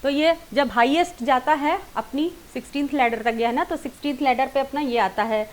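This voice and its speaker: A woman's voice: high-pitched, brisk, slight background noise. A woman with an Indian accent giving an informal singing lesson online, explaining directly to viewers and mixing in English terms. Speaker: singing teacher.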